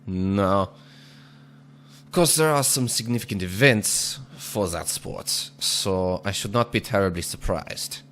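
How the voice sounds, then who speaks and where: A man giving casual gameplay commentary while speaking in a put-on, exaggerated foreign accent, measured and deliberate, chuckling now and then. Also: lowish voice, a bit bright. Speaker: gaming video host.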